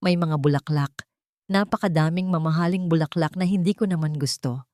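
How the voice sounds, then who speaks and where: A woman narrates a scripted drama in the first person. Her voice sits low and is dark and soft-edged in tone. The sound is dry, with very little room. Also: brisk, dry recording. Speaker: audio story narrator.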